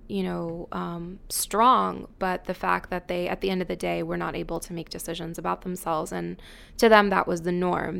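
A woman's voice: on the low side, creaky, a bit bright, smooth. A young American woman from Maryland giving a personal spoken account of her life and advocacy work. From United States, Maryland near Washington DC. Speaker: advocacy program assistant and youth trainer.

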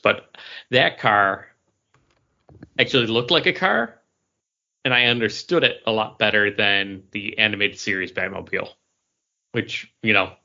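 A man co-hosting a podcast, chatting casually about pop culture in a rambling, halting way that often trails off. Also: on the low side, sharp tone, muffled recording. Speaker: podcast co-host.

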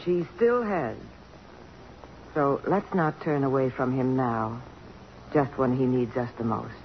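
An actress playing a self-possessed, principled middle-aged woman, earnest and articulate, in 1950s radio drama style. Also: very deep voice. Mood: gentle, resolute. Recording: dull, faintly noisy old radio recording.

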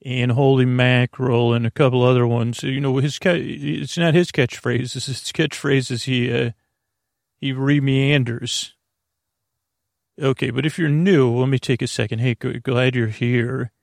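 A man's voice: mid-range voice, steady tone, measured pace, no echo, clean studio sound. A man hosting a sleep podcast, rambling in a hesitant, meandering way with lots of fillers and restarts. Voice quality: creaky. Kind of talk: rambling bedtime monologue.

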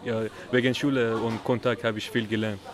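A young man from Iran, a vocational pupil answering a reporter in short, halting phrases in a school kitchen. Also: mid-range voice, flat delivery. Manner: halting, simple phrasing with many pauses. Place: school kitchen at a job fair.

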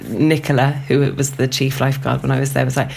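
A woman's voice: rumbling low, little pitch movement, a little fast, creaky, darkish tone, husky. A woman guest telling a rambling personal story in casual conversation, laughing often. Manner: chatty and rambling, laughs often, many fillers. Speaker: guest, writer and former lifeguard.

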